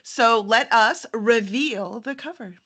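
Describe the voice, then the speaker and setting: A woman's voice: on the high side, slow, fairly bright tone. A woman hosting a casual live-streamed book chat in an enthusiastic, gushing manner. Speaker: host of a book cover reveal.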